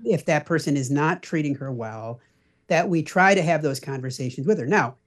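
A man's voice: fairly high pitch, normal speed. A middle-aged man answering as a podcast guest in an earnest, explanatory, conversational way. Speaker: podcast guest, parenting blogger.

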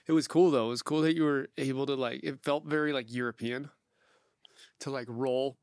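A young man hosting a podcast, talking in a relaxed, rambling way, swearing casually and laughing often. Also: mid-range voice, brisk, smooth, no room sound, clean studio sound. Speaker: podcast host, producer.

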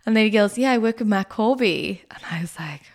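Croaky-voiced woman podcaster chatting. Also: medium pitch, lively intonation. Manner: chatty storytelling, quick asides. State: croaky from a cold. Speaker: podcast host. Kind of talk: solo podcast talk.

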